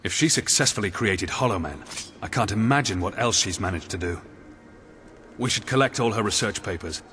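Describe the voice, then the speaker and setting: A low-pitched wary military companion in a video game. He is articulate, scornful and dryly sardonic, and music plays clearly behind his words. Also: lively intonation, a little fast, bright, forceful tone. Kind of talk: scripted video game dialogue.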